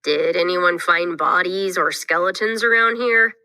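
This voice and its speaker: A woman acts out a skeptical, inquisitive boy in a children's read-aloud. The voice is pushed lower but stays bright, questioning and emphatic. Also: unhurried, dry recording. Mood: doubting. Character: skeptical, inquisitive young boy named Jackson.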